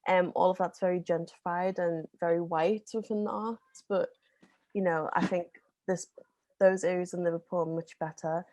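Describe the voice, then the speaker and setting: A woman's voice: fairly low pitch, steady tone, moderate pace, darkish tone, no room sound. A young woman in her twenties from the North West of England, a guest chatting casually in a podcast interview, talking loosely with many fillers and restarts. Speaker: podcast guest, zine co-founder.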